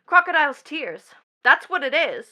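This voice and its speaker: An actress playing a bitter, proud young stepdaughter in a stage play, with an emphatic, mocking, dramatic delivery. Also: female voice, sits high, sing-song, normal speed, pushed and bright, heavily acted. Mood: disgusted. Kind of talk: theatrical stage play acting.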